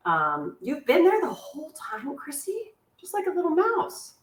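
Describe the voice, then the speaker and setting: A woman's voice: huge pitch swings, a little slow. Chatty woman hosting a cooking class. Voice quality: squeaky. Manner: chatty, warm-hearted asides, laughs at herself. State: sore back, in discomfort. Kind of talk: live online cooking class, answering viewer questions. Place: home kitchen. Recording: echoey kitchen, voice from a distance. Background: clinking cutlery and dishes.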